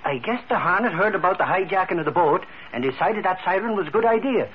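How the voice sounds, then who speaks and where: Comic Irish newshound: high voice, swooping delivery, blustery, muffled vintage radio. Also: male voice, expressive, quick pace. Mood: chatty. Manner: blustery, folksy. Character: blustery, comic Irish ex-cop newspaper reporter. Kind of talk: 1940s radio acting. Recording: muffled vintage radio recording, faint noise.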